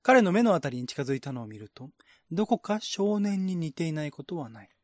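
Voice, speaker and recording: Higher-voiced man narrating, dry sound. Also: very animated, fairly quick, a little mellow, no echo. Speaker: narrator, reader. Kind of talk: scripted narration, radio-drama style literary reading.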